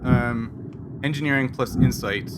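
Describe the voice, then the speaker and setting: A man running a tabletop game as game master, improvising narration in a halting, self-correcting way. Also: medium pitch. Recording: noisy, loud background hum.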